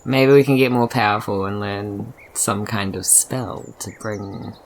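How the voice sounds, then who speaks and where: A man's voice: lowish voice, fairly even intonation, unhurried, a little hiss or hum. A man, chatting casually in character as a player in a tabletop game.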